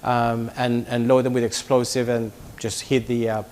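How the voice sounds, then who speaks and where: Halting man explaining, mid-pitched. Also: level tone, noisy background. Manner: halting, repeats words. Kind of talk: unscripted explanation.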